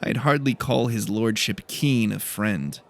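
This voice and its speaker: A man's voice: on the low side, unhurried, vocal fry. A man voicing an earnest young male student with a plain, wry, self-deprecating manner in an audiobook. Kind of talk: audiobook character dialogue.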